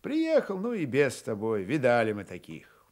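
A man narrating a literary radio play in an expressive, theatrical storytelling manner. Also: mid-range voice, moderate pace.

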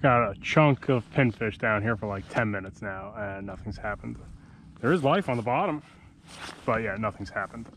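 A man's voice: on the low side, lively intonation, moderate pace, a bit bright, a little hiss or hum. A man in his thirties giving a casual running commentary while fishing alone from a kayak, talking to himself between casts, recorded on an action camera mounted on the boat. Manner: laid-back, talks to himself between casts. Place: kayak on calm open sea, outdoors.

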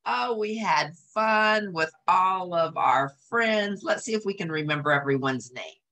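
A middle-aged woman reading aloud to children in a small home office, expressive, with drawn-out emphasis. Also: on the low side. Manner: animated, drawn-out emphasis, asks listeners questions. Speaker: storyteller, channel host.

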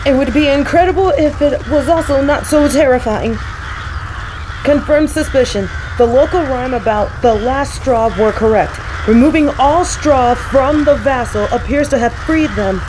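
A woman playing an earnest, shaken professor and field agent in an audio roleplay, speaking in a halting, breathy, fretful way. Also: high voice, close to an everyday voice. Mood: alarmed documenting. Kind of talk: dramatic audio roleplay acting. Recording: noisy outdoor ambience with cawing crows.

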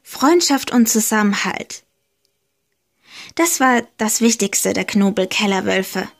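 A woman reading a short homemade fairy tale aloud, slow and deliberate, leaning gently into key words. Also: medium pitch, creaky. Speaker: storyteller. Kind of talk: slow storybook narration.

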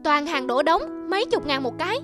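A woman voicing a selfish young adult son in an animated moral tale, whining and complaining demandingly. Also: high-pitched, normal speed, over music. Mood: whiny demanding. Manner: petulant, complaining.

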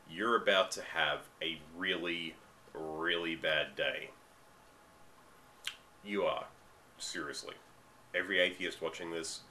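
An Australian man with a very low, bright, edgy voice delivers a ranting webcam commentary. He is getting over a cold and leans hard on stressed words, stretching them out. A faint hiss of room noise sits under his voice. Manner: ranting, emphatic, drawn-out stresses. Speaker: vlogger. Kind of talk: commentary monologue. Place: dim room at home, indoors.

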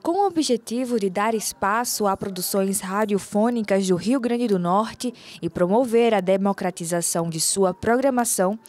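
A woman's voice: medium pitch, moderate pace, few pauses. A woman reporter narrating a scripted news story. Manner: clear even narration.

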